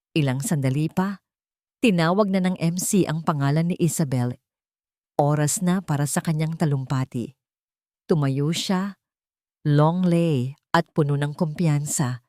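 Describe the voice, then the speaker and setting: A woman with a Filipino accent reading a dramatic story in a measured, suspenseful storytelling manner. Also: sits low, darkish tone, no room sound, clean studio sound. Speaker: audio drama narrator. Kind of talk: dramatic scripted story narration.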